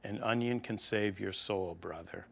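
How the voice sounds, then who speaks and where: A man's voice: on the low side, measured pace, vocal fry, a bit bright, slight background noise. A man reading a personal memoir aloud as scripted narration. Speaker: reader of a memoir. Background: plucked guitar music.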